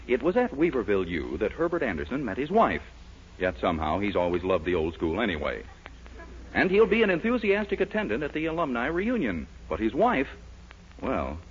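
A man's voice: mid-range voice, slight background noise. An American man working as a 1940s radio announcer, reading scripted ads and narration on a dull old broadcast recording.

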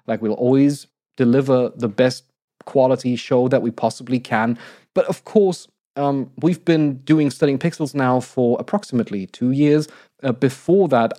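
A man in his thirties from Germany co-hosting a podcast, his voice slightly croaky from recovering from an illness, talking in an articulate, measured way and laughing often. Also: mid-range voice, mellow, no room sound, clean studio sound. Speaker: podcast co-host. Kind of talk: casual podcast announcement.

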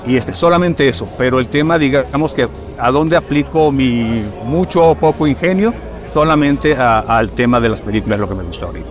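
A middle-aged film director from Puerto Rico with a mixed Caribbean and Mexican Spanish accent, chatting casually and telling stories with lots of fillers. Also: male voice, medium pitch, fairly quick, crowd noise. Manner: chatty, storytelling, fillers like "este". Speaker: film director, podcast guest. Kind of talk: casual conversation.

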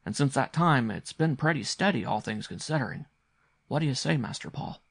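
Storybook manservant, played by a male reader: deferential, reverent, confiding, with a creaky edge. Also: mid-range voice, animated, a little fast, fairly bright tone, dry recording, clean studio sound, pitched above the performer's own voice, heavily acted. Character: deferential male servant, devoted betting partner.

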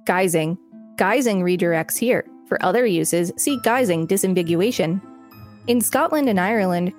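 Woman, podcast co-host, mid-pitched voice, article read-aloud, casual asides, music behind. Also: darkish tone.

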